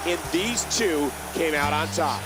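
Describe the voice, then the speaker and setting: A man's voice: a little high, measured pace, close to an everyday voice. A man playing an emphatic, dramatic wrestling commentator in a video game. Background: loud music.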